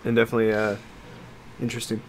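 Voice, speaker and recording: A man with a mid-pitched voice co-hosts a casual podcast. He talks like an excitable fan and swears freely. There is some faint noise and room ambience behind him. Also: rapid-fire, poor audio. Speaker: podcast co-host.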